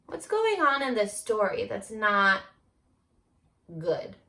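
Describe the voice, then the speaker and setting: A woman's voice: medium pitch, wildly swooping pitch, slow, sharp tone, reverberant. A woman teacher doing an interactive read-aloud for children, prompting them with questions and encouraging them.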